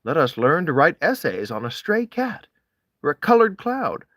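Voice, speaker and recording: A man reading classic literary prose aloud, deliberately, with dramatic rises and falls in his phrasing. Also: on the high side, big pitch swings, fairly bright tone, no echo, studio quality. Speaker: audiobook-style reader of classic essays. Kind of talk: literary read-aloud, expressive scripted narration.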